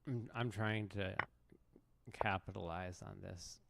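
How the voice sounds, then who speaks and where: A man's voice: low voice, fairly even intonation, slow pace, creaky. A man streaming chess with casual, self-mocking, rambling commentary, in a noisy stream recording. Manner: self-mocking, rambling, restarts mid-thought. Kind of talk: casual live commentary while playing.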